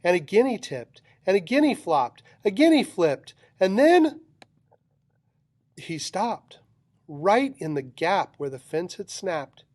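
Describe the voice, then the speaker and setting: Dry-sounding man reading a rhyming storybook, unhurried. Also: sits high, very animated, darkish tone, no room sound. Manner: dramatic, rhythmic delivery of rhyming verse. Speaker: storybook reader. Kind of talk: expressive children's picture book read-aloud.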